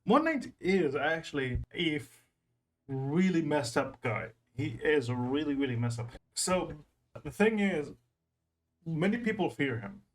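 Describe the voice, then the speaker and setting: A man's voice: fairly high pitch, animated, unhurried. A man hosting a reaction video in a casual, rambling way, repeating phrases for emphasis.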